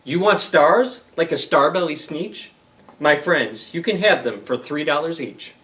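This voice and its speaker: A man voicing a slick, showy fix-it salesman creature from a children's storybook, delivering a grandiose sales pitch. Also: expressive, unhurried, fairly bright tone, recorded from a distance. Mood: eager coaxing. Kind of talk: storybook read-aloud.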